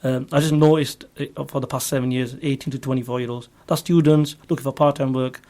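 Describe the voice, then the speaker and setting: A man's voice: medium pitch, fairly even intonation, brisk, warm tone. A man speaking as a recruiter guest in a radio interview, explaining earnestly and repeating his points. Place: radio studio.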